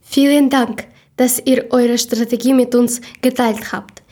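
A woman's voice: fairly high pitch, fairly even intonation, measured pace, mellow. A woman from Ukraine, hosting a podcast and carefully reading her lines from a script. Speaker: podcast host.

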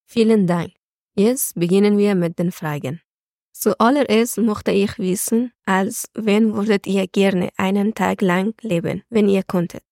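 A woman's voice: medium pitch, steady tone, measured pace, darkish tone, dry recording, clean studio sound. A woman hosting a podcast with prepared talk. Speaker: podcast host.

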